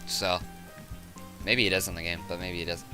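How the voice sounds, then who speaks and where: A man with a raspy, hoarse voice chatting casually on a game livestream, rambling and thinking aloud. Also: deep voice, flat delivery, moderate pace, over music. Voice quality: raspy, sore voice. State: hoarse from hours of talking. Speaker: game streamer, host. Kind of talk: casual livestream chat while gaming.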